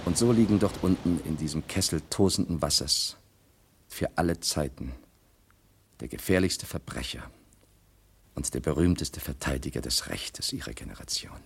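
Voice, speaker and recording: An actor playing a grave doctor chronicler who narrates a radio drama in a solemn, dramatic manner. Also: male voice, a bass voice, normal speed, vocal fry, breathy edge, full character voice.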